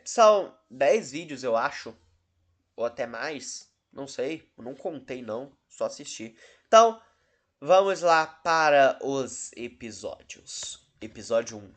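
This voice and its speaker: A Brazilian man hosting a video commentary, talking casually in a rambling, self-interrupting, dryly sarcastic way. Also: on the high side. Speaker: host of a video channel about horror cases.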